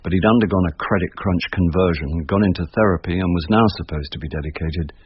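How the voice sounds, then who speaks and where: An actor playing a wry, world-weary older sleuth in a radio drama, with an English accent and a dry, deadpan delivery. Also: male voice, low voice, fast talker, breathy edge, no room sound. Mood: dry narration. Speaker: narrator and lead character. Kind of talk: radio drama acting with first-person narration. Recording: muffled, noisy lo-fi radio drama recording.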